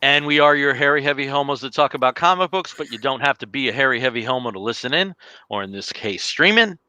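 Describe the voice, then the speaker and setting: A talkative man with a New Jersey accent, joking through a casual podcast chat. His voice is bright and forward, and the sound is dry and close with no room echo. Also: mid-range voice, animated, fast, smooth. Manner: jokey, talkative, laughs often. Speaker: podcast co-host. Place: home room, dim lighting.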